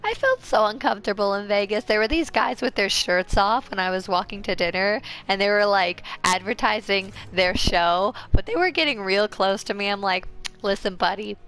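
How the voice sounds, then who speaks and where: A young American woman from Ohio streaming a fighting game while sick, coughing now and then. She chats with viewers and squeals and yells at the game. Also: medium pitch, moderate pace, clean tone, poor audio. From Ohio, USA. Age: young adult. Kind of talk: casual game stream chat. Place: home room, indoors. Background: faint game music.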